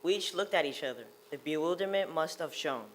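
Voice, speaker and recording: A woman's voice: deep voice, expressive, fairly quick, a little hiss or hum. A female performer reading scripted story narration in a readers theater style.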